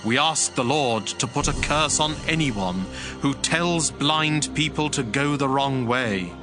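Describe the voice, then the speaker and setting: Guy as stern priest reciting curses in a bible drama, really slow and solemn, clear music under it. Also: medium pitch, natural voice. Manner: deliberate, solemn, repetitive formal cadence. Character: stern male cleric solemnly pronouncing curses.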